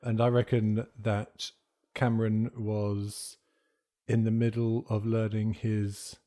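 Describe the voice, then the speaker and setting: A man with a fairly deep, soft-edged voice plays a tabletop roleplaying game. He improvises character backstory in a casual, conversational way. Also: little pitch movement, slow.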